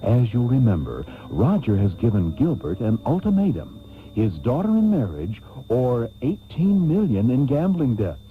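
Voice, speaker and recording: A mock-solemn soap serial host on radio. His scripted narration is grand and theatrical, with extremely varied pitch. It comes through a narrow, tinny old tape with faint music. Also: huge pitch swings, normal speed. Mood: grand, earnest.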